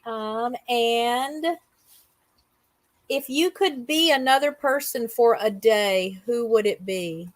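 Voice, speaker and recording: A woman's voice: a little high, slow, no echo. A woman interviewer in a casual question-and-answer chat, talking in an informal conversational way.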